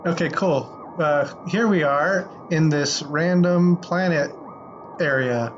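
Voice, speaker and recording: A man hosting a podcast in a casual, playful way, with rambling, halting delivery. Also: fairly high pitch, slight background noise. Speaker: podcast host. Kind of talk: casual chat with playful improvised sci-fi bits. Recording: slightly muffled audio with prominent music.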